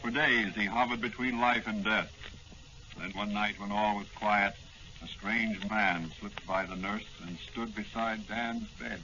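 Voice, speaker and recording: A man's voice: lowish voice, steady tone, unhurried, bright, forceful tone. A man delivering scripted dramatic narration in the style of a 1940s radio serial. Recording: muffled old-time radio recording. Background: music.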